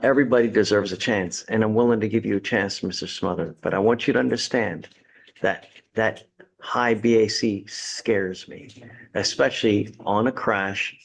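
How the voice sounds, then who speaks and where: A man's voice: fairly low pitch, steady tone, normal speed, gravelly. Blunt, sarcastic male judge. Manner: blunt, sarcastic, folksy sayings. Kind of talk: stern courtroom lecture from the bench. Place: courtroom.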